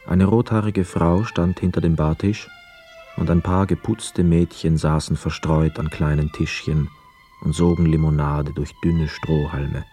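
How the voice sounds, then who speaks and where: An actor narrating a literary radio play as a sober, observant storyteller with a mellow voice. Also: male voice, fairly even intonation, unhurried, soft background music. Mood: reflective. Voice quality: deep, mellow. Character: sober, observant male first-person narrator.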